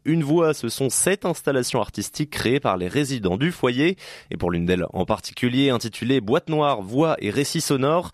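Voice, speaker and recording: A man reads the regional news in a radio studio, steady and measured. The sound is close and dry, with no room in it. His voice sits in a middle register. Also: medium pitch, dry recording. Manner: even, measured newsreading. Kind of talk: scripted regional news reading. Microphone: studio microphone.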